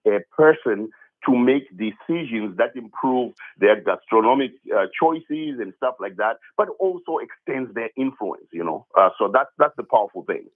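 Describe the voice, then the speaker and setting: A man's voice: mid-range voice, expressive, normal speed. A man from Zimbabwe calling in to a radio show. He talks eagerly, stumbling into restarts and repeated words as he explains his ideas, heard through a narrow, tinny phone line. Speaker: caller, app founder. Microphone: telephone.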